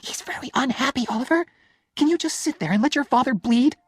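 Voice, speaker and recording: A man's voice: high-pitched, a little fast, darkish tone, no room sound, clean studio sound, pitched above the performer's own voice. A man voicing a young wife in an audiobook story, hurt and exasperated, pleading with emphatic emotion. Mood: urgent pleading.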